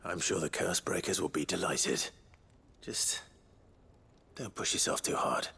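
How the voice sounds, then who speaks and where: An actor playing a calm, considerate adventurer hero in a video game, with an understated, measured delivery. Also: male voice, low voice, vocal fry, husky, full character voice. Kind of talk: video game cutscene acting.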